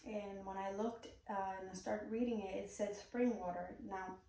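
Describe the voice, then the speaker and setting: A woman's voice: medium pitch, fairly even intonation, moderate pace, dark tone. A woman from Puerto Rico with a Puerto Rican Spanish accent, a native Spanish speaker, giving a prepared persuasive speech in an earnest, direct way with a few "um"s. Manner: earnest, direct, occasional "um" fillers. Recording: very echoey room, mic far off.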